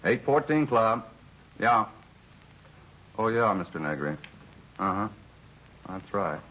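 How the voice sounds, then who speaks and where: Tired american barman in an old 50s radio show, low husky voice, rambly and resigned, muffled sound. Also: big pitch swings, takes it slowly, a bit bright, slight background noise, full character voice. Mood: meek, placating. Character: weary, put-upon male bar employee, grumbling witness. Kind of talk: 1950s radio drama acting. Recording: muffled 1950s radio recording.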